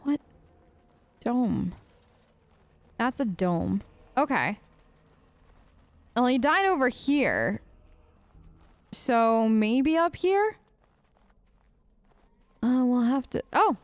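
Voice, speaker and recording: A woman talks through her gameplay in an excitable, chatty way, turning often to address her viewers. Her voice sits fairly high. The sound is dry and close, with no room around it. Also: drawn-out words, pauses often, no room sound. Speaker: let's play streamer.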